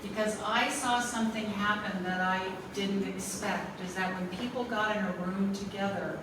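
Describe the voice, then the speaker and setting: A woman's voice: mid-range voice, steady tone, normal speed, noisy background. A woman giving heartfelt, loosely rambling remarks of thanks to artists as a program organizer. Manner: warm-hearted, rambling, grateful. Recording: echoey hall, distant mic.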